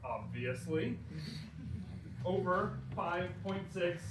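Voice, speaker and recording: A man giving a theatrical dramatic reading of textbook prose, mock-dramatic and halting, with deliberate pauses. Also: high-pitched, expressive. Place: indoor theater, live performance. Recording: noisy, echoey theater, recorded from far off.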